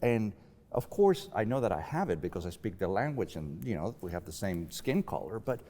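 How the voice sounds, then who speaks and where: A man's voice: fairly low pitch, sing-song, a little fast, mellow. A man from Mexico, a professor taking part as a guest in a discussion, speaking thoughtfully with frequent restarts. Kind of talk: conversational discussion. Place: large library reading room. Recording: echoey library reading room.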